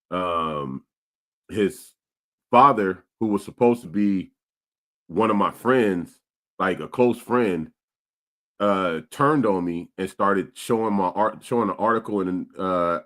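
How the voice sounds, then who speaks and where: A man's voice: low voice, pushed and bright. A man in his forties from Stockton, California, telling street stories and reading chat comments on a casual podcast. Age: 40s. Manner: streetwise, storytelling, repeats himself; reads live chat comments aloud. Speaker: podcast co-host.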